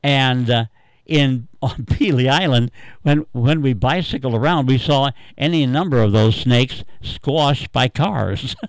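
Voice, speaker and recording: A man hosts a radio call-in show, chatting and reminiscing in a halting, rambling, easygoing way. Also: mid-range voice, no room sound, poor audio. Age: 80s. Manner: rambling, halting, chuckling storyteller. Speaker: radio talk host taking callers. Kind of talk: casual, unscripted reminiscing and chat.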